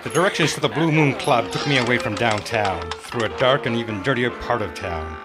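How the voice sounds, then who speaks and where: A man's voice: medium pitch, animated, a little fast, close to an everyday voice. A man playing a world-weary, hard-boiled private eye narrating a noir radio drama. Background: loud music.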